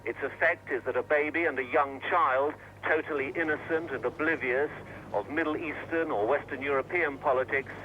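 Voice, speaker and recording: A man's voice: fairly high pitch, normal speed. A man narrating a scripted television news report as a correspondent. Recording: dull, noisy 1980s news track.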